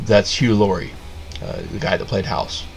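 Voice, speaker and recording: A man's voice: lowish voice, sing-song, moderate pace, creaky, faint music behind. A man giving a casual, sarcastic rant of a review, rambling with lots of restarts. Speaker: video reviewer.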